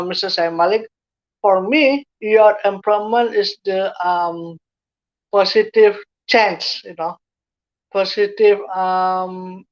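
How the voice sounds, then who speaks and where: A woman's voice: medium pitch, lo-fi sound. A woman from Indonesia with an Indonesian accent, a guest youth speaker answering interview questions in English with many fillers and restarts. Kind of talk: online interview.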